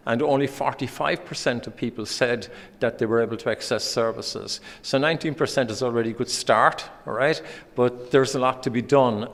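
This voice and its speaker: A man from Ireland with an Irish accent, giving a conference presentation with many pauses and restarts. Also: mid-range voice. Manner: hesitant, many ums and restarts. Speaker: conference speaker. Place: conference hall. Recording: hall reverb.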